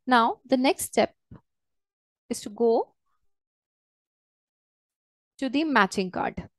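A woman walking through a software tutorial step by step as a trainer, in a hesitant, halting way. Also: fairly high pitch, expressive, no echo. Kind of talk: screen-share software tutorial, unscripted walkthrough.

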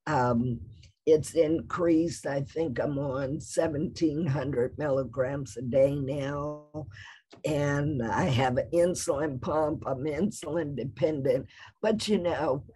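A middle-aged woman with a raspy voice, answering questions on a video call from her office after presenting. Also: sits low, slow, dark tone. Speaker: conference presenter. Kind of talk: Q&A after a talk.

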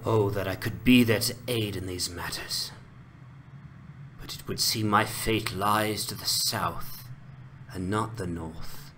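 A man's voice: fairly low pitch, slow pace, sharp tone, a little hiss or hum, rough recording. A man voicing a noble, regretful ranger chieftain with formal, solemn speech in a fantasy game dramatization. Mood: solemn, kindly.

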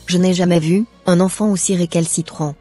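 A woman voicing a stern, exasperated mother in a cartoon, with a scolding, deliberate way of speaking. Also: lowish voice, fairly even intonation, dark tone, slightly rough, soft background music. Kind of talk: scripted cartoon dialogue.

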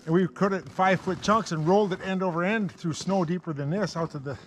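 A man's voice: high voice, fast talker, slight background noise. An older man working draft horses in snowy woods, explaining his work in a plain-spoken, casual way. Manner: plain-spoken, giving short commands to horses. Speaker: horse logger. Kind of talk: casual chat while working. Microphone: camera mic from a few meters away.

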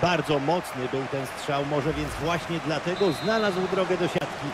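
Measured male football analyst on replays. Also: on the high side, heavy background noise. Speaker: color commentator. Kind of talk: scripted football video game analysis. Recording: loud stadium crowd behind.

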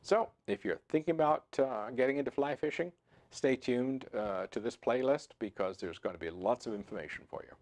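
A man in his sixties hosting a fly fishing channel, talking to camera in a relaxed, conversational way with frequent fillers and restarts, seated in a home office. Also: medium pitch, a little fast, a little hiss or hum. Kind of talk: to-camera instructional talk. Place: home office with computer screens, indoors.

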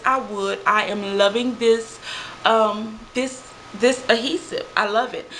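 A woman's voice: fairly high pitch, fairly bright tone, slight background noise, poor audio. A young adult woman chatting in a casual product review vlog, emphatic, with lots of fillers and tag questions, recorded on a webcam in a small room. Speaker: beauty vlogger.

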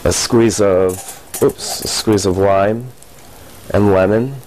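A man's voice: lowish voice, slow, a little hiss or hum, low-quality recording. A middle-aged man, a chef, walking through a recipe on a TV cooking segment in a studio kitchen. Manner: relaxed, talks while cooking, brief fillers. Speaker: restaurant chef, guest. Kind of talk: cooking demonstration.

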